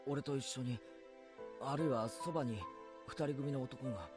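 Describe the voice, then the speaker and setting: A flustered, defensive teenage boy, voiced by a man, mid-pitched and rushing his words out very fast. Loud game music runs under his lines. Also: lightly acted. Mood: confused. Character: nervous, confused teenage boy. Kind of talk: Japanese visual novel voice acting.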